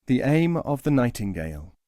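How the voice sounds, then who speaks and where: A man's voice: mid-range voice, very animated, normal speed, mellow, no echo, studio quality. A man narrating traditional tales as a scripted audiobook reading.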